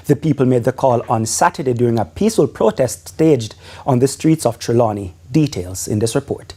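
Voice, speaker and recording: A man opens the evening news in a smooth, formal anchor style. His voice is mellow and warm at an ordinary pitch. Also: animated, brisk.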